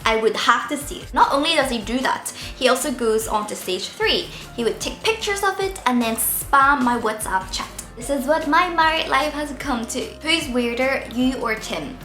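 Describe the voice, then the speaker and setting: Woman vlogger in her twenties, squeaky and slightly husky from a sore throat, bright tone, roomy echo with faint music underneath. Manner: chatty, playful, giggly. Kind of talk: casual Q&A vlog. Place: indoors at home, seated by window light.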